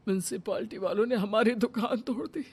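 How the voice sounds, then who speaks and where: A woman's voice: on the high side, fairly quick, a little mellow, husky, voice pushed up. A woman voicing an upset young husband in a cartoon story, earnest and aggrieved. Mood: distressed. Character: young husband Rohan, upset family breadwinner.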